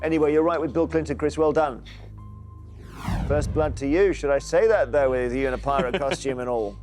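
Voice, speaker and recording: A man's voice: fairly high pitch, a little fast, darkish tone, soft background music. A man hosting a TV quiz show, reading questions and bantering playfully with contestants.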